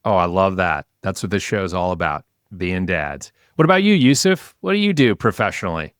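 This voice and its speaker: A man's voice: low-pitched, very animated, brisk, smooth, dry recording, studio quality. A man hosting a podcast and interviewing guests in a conversational, presenterly way.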